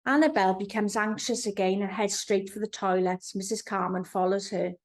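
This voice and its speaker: A woman narrating a storybook for young children in an expressive storytelling manner. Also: mid-range voice, brisk. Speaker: author reading own children's book.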